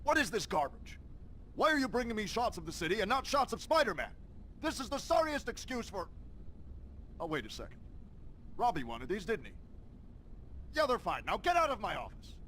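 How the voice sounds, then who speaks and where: A man's voice: sits high, big pitch swings, fairly quick, long pauses, bright, forceful tone, slight background noise, full character voice, rough recording. A man voicing an irascible, pompous American newspaper boss in a video game, barking abrasively. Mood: disgusted, grudging. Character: blustering, irascible middle-aged male newspaper boss.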